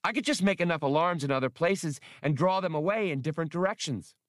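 A man voicing a small, eager, boyish computer in an audiobook, with a proud, chirpy, excitable manner. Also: a little high, quick pace, dry recording, studio quality, voice pushed up, lightly acted. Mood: helpful, eager.

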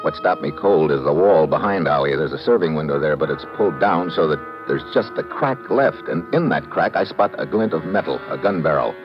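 An actor playing a tough, wisecracking detective in old-time radio drama, with clipped, slangy hard-boiled talk. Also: male voice, extremely low pitch, expressive, a little fast, few pauses, over music. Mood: tense narration. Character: tough wisecracking male detective, dogged and wry. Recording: dull vintage radio recording.

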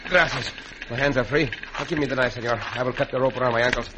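An actor playing a courteous, cool-headed cowboy hero in an old radio western. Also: male voice, medium pitch, quick pace, lightly acted. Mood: urgent. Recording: noisy old radio recording.

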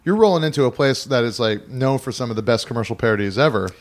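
A man with a mid-range voice in relaxed group talk. He pauses and hedges with fillers as he works out his points. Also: mid-range voice. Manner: conversational, hesitant with fillers. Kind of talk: casual chat.

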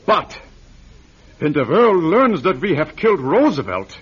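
An actor playing a scheming German intelligence major, smooth and coaxing, in a 1940s radio drama. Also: male voice, a little high, sing-song, measured pace, heavily acted. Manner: smooth, coaxing, quietly fanatical. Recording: dull lo-fi old radio recording.

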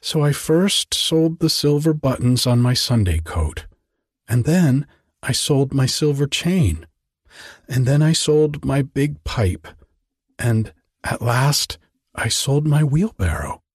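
A man reading aloud and voicing a humble, meek young gardener in a softer, higher voice. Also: expressive, slow pace, slightly rough, no echo, studio quality.

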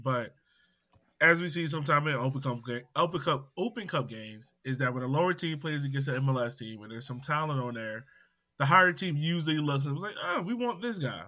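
A man's voice: medium pitch, bright, forceful tone. A man co-hosting a casual sports chat, putting questions to his guest. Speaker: podcast co-host.

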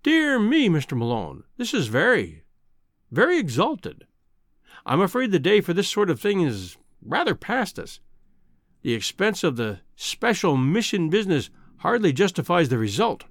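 A man voicing a kindly, crabbed old Scottish newspaper editor in a storybook reading, with a measured, avuncular manner. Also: high voice, huge pitch swings, dry recording, studio quality, exaggerated voice. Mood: kindly discouraging. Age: older.

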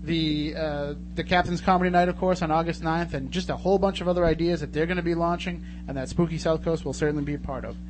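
Higher-voiced American man hosting radio, noisy hum. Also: steady tone, fast talker, few pauses. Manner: chatty, informal. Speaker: radio show host. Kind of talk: casual live talk radio hosting.

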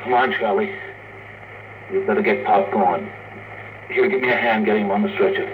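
A man acting a gruff, practical spaceman leading his crew in a 1950s radio drama. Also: fairly low pitch, fairly even intonation, moderate pace, lightly acted. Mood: subdued. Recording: noisy, tinny old radio recording, distant.

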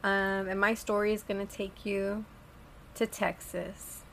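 A woman co-hosting a podcast, telling a story in relaxed, giggly chat. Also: mid-range voice, unhurried, creaky, slight background noise. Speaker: podcast co-host.

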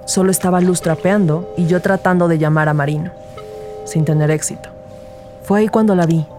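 A woman's voice: on the low side, steady tone, fairly quick, music in the background, natural voice. A woman playing an earnest rookie detective who narrates her case in a scripted audio drama. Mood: measured, narrating. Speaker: narrator and lead character.

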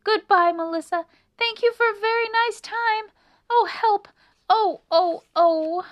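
A woman voicing a timid, polite female country mouse in a children's storybook, squeaky and fluttery. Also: lively intonation, unhurried, darkish tone, dry recording. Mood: panicky, polite.

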